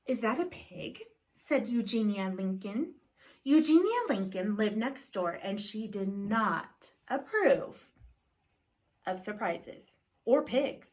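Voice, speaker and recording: A woman reading a picture book aloud to children in a deliberate storytelling style, pausing to put questions to her young listeners. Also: mid-range voice, expressive, a little mellow, poor audio.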